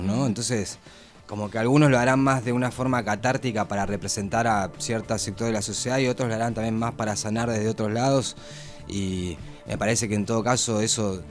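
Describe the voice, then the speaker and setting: A man with an Argentine accent chats casually, feeling his way tentatively through his thoughts. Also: on the low side. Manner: hesitant, tentative phrasing. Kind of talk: casual conversation.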